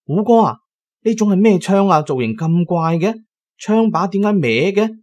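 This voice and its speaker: A man's voice: high voice, normal speed, darkish tone, lo-fi sound, pitched above the performer's own voice, close to an everyday voice. A man voicing a timid, superstitious young mountain woman who speaks in an urgent, stammering way. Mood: curious.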